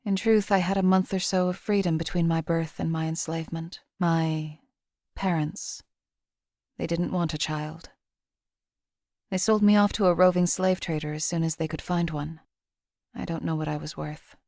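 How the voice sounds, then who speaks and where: A cold, detached young woman who was raised as property and learned to kill without hesitation, voiced by an actress for a game. She speaks quietly and matter-of-factly with a slightly low voice. The recording is dry and close, with no room sound. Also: moderate pace, no room sound. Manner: quiet, matter-of-fact, emotionally flat delivery. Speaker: game character.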